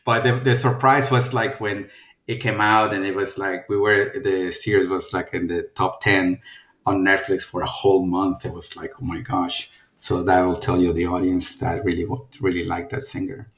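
Man, Mexican accent, very low voice, middle-aged, rambling with restarts, roomy echo. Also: little pitch movement, moderate pace, a bit bright. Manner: rambling, many restarts and fillers. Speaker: film producer guest. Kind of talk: casual interview conversation.

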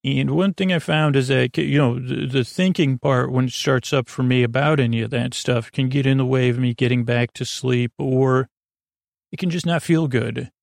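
A man hosting a bedtime podcast in a meandering, halting monologue, drawing out words, wandering into asides and sounding gently silly. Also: mid-range voice, creaky, dry recording, clean studio sound. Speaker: podcast host. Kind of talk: rambling bedtime podcast monologue to help listeners sleep.

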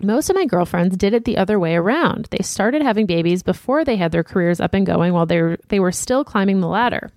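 A woman co-hosting a podcast, reading listener emails aloud in a steady, plain voice. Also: mid-range voice, fast talker, hardly any pauses, dry recording, studio quality. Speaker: podcast co-host.

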